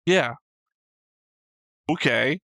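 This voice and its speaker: A man's voice: mid-range voice, lively intonation, extremely slow, gravelly, sharp tone, no room sound, studio quality. A man co-hosting an anime show in casual, opinionated discussion, argumentative and emphatic, swearing freely. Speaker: co-host of an anime reaction show.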